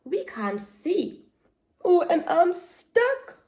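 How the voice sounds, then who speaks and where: A woman's voice: very high-pitched, huge pitch swings, drawn-out words, a little mellow, full character voice. A woman voicing three noisy young foxes who fuss and get scared easily. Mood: fussy.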